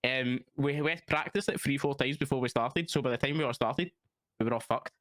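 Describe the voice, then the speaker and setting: A man's voice: mid-range voice, fairly quick, fairly bright tone, no echo. A young man with a Glaswegian accent, chatting casually as a guest, swearing and laughing, calling everyone mate. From Scotland. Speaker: guest, roleplay streamer. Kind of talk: casual chat.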